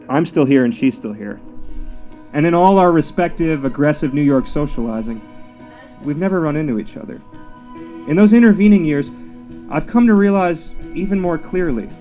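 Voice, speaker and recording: Mellow-voiced man reading a personal essay. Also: fairly high pitch. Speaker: writer reading own essay.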